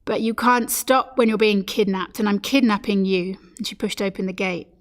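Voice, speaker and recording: A woman's voice: mid-range voice, fairly even intonation, moderate pace. A woman reading a story aloud at a literary event, narrating and speaking the dialogue. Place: café at a live-streamed reading event.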